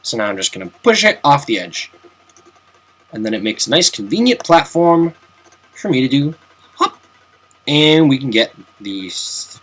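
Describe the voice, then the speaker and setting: A man's voice: medium pitch, sing-song, measured pace, pauses often, fairly bright tone, slightly rough. Chatty young man narrating gameplay. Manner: chatty, self-mocking, jokey asides. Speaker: let's play commentator. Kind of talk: casual gameplay commentary.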